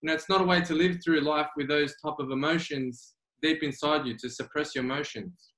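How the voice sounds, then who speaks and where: Emphatic high-voiced Australian man, roomy sound. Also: fairly even intonation, brisk, fairly bright tone. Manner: earnest, emphatic, repeats key phrases. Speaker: guest mindset coach. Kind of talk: remote interview. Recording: roomy echo.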